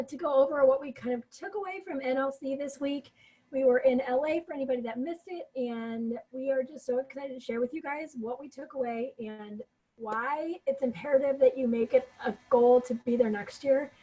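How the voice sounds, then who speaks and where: Hoarse, husky-voiced woman, upbeat team co-host on a video call. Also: high voice, moderate pace, mellow, low-quality recording. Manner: upbeat, filler-heavy, encouraging. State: losing her voice, hoarse. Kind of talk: casual motivational team talk.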